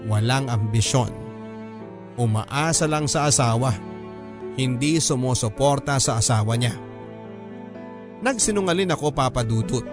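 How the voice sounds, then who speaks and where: A man narrating a scripted first-person story as a radio drama host. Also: mid-range voice. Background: prominent background music.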